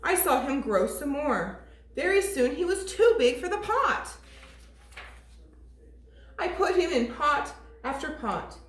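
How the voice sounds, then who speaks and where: A woman teacher reading a storybook aloud to toddlers in an expressive, sing-song way. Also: high voice, slow pace, pauses often, a little hiss or hum. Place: classroom. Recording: echoey room.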